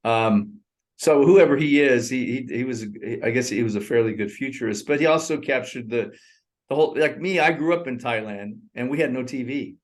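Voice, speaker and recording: Older guy rambling about a movie over a call, laughs a lot, jumps around, avg pitch. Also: brisk. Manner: rambling, animated, laughs often. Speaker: co-host. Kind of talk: casual movie review chat. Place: in Thailand. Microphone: remote call.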